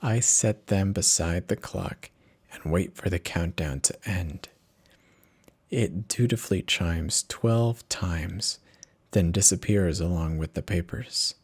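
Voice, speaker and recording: A man giving a theatrical, expressive dramatic reading of a first-person horror story, with dramatic pauses. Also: low-pitched, breathy edge. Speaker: narrator of horror stories.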